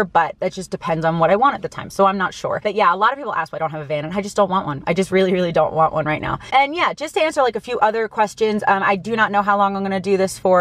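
A woman's voice: mid-range voice, no room sound. An American woman in her early thirties talks casually and earnestly to camera as a vlogger, stressing her points, recorded inside a small parked car. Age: early 30s.

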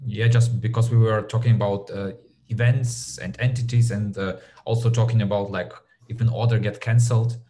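A man asking a question on a call. He speaks haltingly, with many "uh" fillers. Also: fairly low pitch, steady tone, warm tone, slight background noise. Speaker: audience member asking a question. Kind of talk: casual question.

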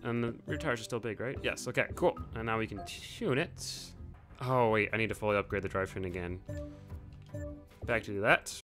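A man's voice: lowish voice, normal speed. A young man commenting casually on a racing game as channel host, often breaking into frustrated outbursts and swearing. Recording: game audio and music under voice. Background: car engine sounds and music.

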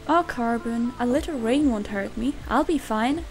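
Girl doing a playful sassy catgirl for a visual novel, cutesy and high, music and rain behind. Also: moderate pace, darkish tone, close to an everyday voice. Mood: easygoing. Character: playful, sassy young catgirl regular at a coffee shop. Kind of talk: visual novel fan dub acting.

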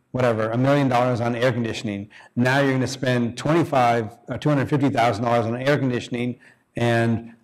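A man's voice: medium pitch, darkish tone, poor audio. A man on a conference panel in a conference room, explaining cost savings in a plain, practical way. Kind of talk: panel discussion.

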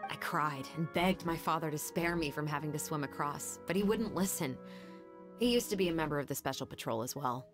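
A woman voicing a stoic young patrol captain in a game, speaking in a calm, measured, reserved way. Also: low-pitched, lightly acted. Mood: solemn. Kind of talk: anime game dub. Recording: game audio under music.

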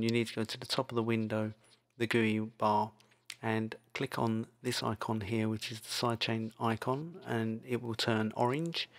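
A man presenting an informal software tutorial, speaking in a hesitant, loosely improvised way. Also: mid-range voice, gravelly. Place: indoors, screen-recording voice-over. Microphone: microphone into audio software.

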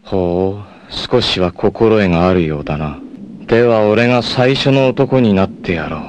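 A proud, ruthless warrior out for vengeance, played by a male anime actor. His voice sits fairly low and speaks with haughty, theatrical cool. It comes from an old 1980s anime recording with clear background noise. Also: measured pace, close to an everyday voice. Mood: cool menace. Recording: noisy old anime clip compilation.

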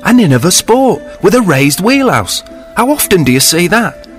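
A man voicing an excitable young male ship enthusiast who gushes and stammers when shocked. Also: fairly low pitch, moderate pace, soft background music, natural voice. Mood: enthusing.

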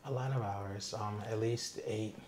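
A man in his thirties giving brief, calm, polite answers as the patient in an ASMR roleplay. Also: lowish voice, a little slow. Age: 30s. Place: small indoor room set up as an exam room. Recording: roomy echo, faint background noise.

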